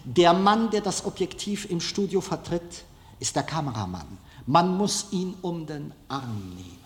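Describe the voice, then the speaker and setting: A man giving a public lecture in a deliberate, halting way with dramatic pauses. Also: a little high, animated. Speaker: film director giving a lecture. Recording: echoey hall, long reverb.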